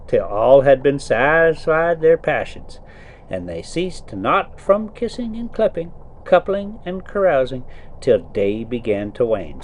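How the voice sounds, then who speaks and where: A man's voice: mid-range voice, slight background noise. A man reading a story aloud in a folksy, theatrical way, with casual colloquial asides, while driving a motorhome. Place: inside a motorhome being driven.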